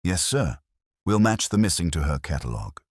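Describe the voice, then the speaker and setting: A man's voice: low voice, sing-song, brisk, slightly rough, no room sound, studio quality, exaggerated voice. A man playing an eager, deferential police sergeant in a mystery story, earnest and keen.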